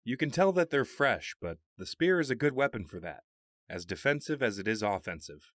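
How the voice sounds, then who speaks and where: A man's voice: medium pitch, sing-song, moderate pace, a bit bright, dry recording, studio quality. A man playing a steadfast warrior mentor, loyal to a prince, in an audio drama.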